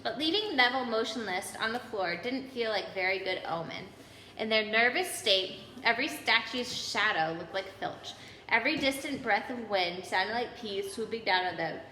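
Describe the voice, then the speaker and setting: A woman reading a children's storybook aloud in an expressive narrating style. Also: a little high, moderate pace. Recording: echoey hall, background noise.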